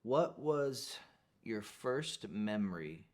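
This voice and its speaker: A young man hosting a podcast, speaking softly and respectfully as he introduces and questions his guest. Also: on the low side, sing-song, very slow. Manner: soft-spoken, warmly respectful. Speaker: podcast host, interviewing his father. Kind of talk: podcast interview.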